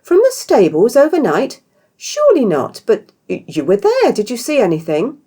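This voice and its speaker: A woman's voice: a little high, wildly swooping pitch, moderate pace, slightly rough, voice pushed up, full character voice. A woman voicing a helpful, professionally cheerful woman innkeeper in a story, polite and innocent-sounding. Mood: feigned shock. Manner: polite, bright, feigning innocence.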